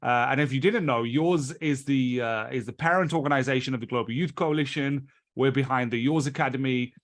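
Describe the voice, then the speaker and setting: A British man runs a live online training session, talking into a computer mic on a video call. His voice sits at a mid pitch, and his delivery is chatty and loose, often circling back to restart a phrase before carrying on. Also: brisk.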